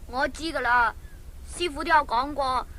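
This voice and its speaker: 一個女人喺兒童廣播劇入面，用香港廣東話扮一個開朗有膽識嘅細仔、年輕裁縫，把聲好似男仔，講嘢認真又生動。. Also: female voice, moderate pace. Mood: earnest. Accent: Hong Kong Cantonese. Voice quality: high boyish voice. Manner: earnest, animated. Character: cheerful, plucky youngest son, a young tailor. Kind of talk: Cantonese children's radio drama.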